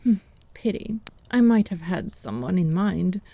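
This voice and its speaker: A woman's voice: lowish voice, very animated, a little slow, creaky, mellow, no echo, exaggerated voice. A woman playing a wry, irreverent witch and healer in a fantasy audio drama, wordy and sardonic. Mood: dry, playful. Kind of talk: fantasy audio roleplay acting.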